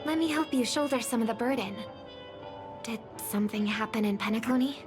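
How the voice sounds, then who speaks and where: A woman voicing a gentle, caring young sister in a video game, speaking in a soft, earnest, polite way. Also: fairly high pitch, a little fast, natural voice. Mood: concerned. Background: game music under the voice.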